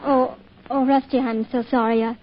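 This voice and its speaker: An actress playing a kindly, gently teasing small-town mother in an old-time radio drama, chatty and affectionate. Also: sits high, level tone, moderate pace, some chatter behind. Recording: dull, noisy old radio recording.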